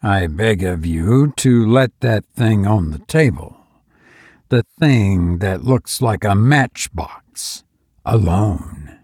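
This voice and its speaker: A grave, intelligent gray-bearded man, voiced by a male story reader gives quiet, uneasy warnings in a horror tale. He speaks slowly and solemnly, with a dry, close sound. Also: fairly low pitch, animated, slightly rough, no echo, heavily acted. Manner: solemn, slow, cautioning. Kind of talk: horror story audiobook dialogue.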